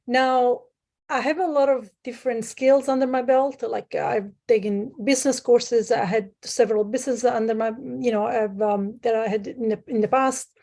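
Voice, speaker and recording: A woman's voice: fairly high pitch, fairly even intonation, moderate pace, warm tone. A woman from Sicily, Italy, talking as a guest on a remote call, in a casual, hesitant way with many fillers. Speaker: guest, private lender. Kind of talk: casual interview.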